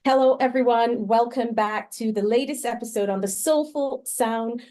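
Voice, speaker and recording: A woman's voice: fairly high pitch, normal speed, a little mellow. A woman hosting a podcast, welcoming her guest in an effusive, friendly way. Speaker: podcast host. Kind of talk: warm podcast interview.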